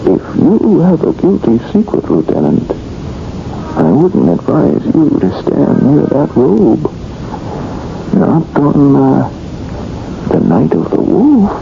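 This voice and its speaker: An actor playing an ominous, knowing man who speaks in halting, portentous fashion, in a 1970s radio drama. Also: a little high, very animated, vocal fry, exaggerated voice. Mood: veiled menace. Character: ominous, knowing man who confesses to killing his secret. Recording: muffled 1970s radio broadcast with music, noise.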